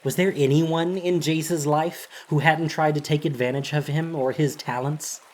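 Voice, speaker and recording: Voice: mid-range voice, fairly even intonation, moderate pace. A narrator reading a scripted, dramatic story for an audio drama.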